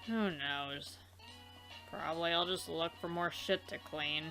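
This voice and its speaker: A woman's voice: deep voice, measured pace, pushed and bright, soft background music, exaggerated voice. A woman voicing a blunt, defensive young female alien cleaner in an amateur visual novel dub, curt and foul-mouthed. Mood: dismissive. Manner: irritable, curt, profane.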